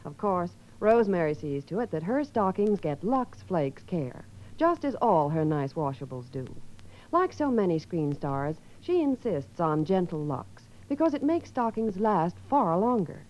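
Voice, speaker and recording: A woman's voice: lowish voice, big pitch swings, a little slow, a little mellow. A woman reading a scripted 1950s radio advertisement as a commercial announcer. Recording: muffled vintage radio broadcast.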